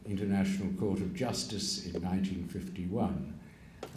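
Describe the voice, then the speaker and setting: Older guy giving a keynote at a lectern, low mellow voice, echoey hall. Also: slight background noise. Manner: measured, with pauses and wry asides. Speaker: keynote speaker. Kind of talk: public lecture. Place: stage of a large hall. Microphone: stand mic at a lectern.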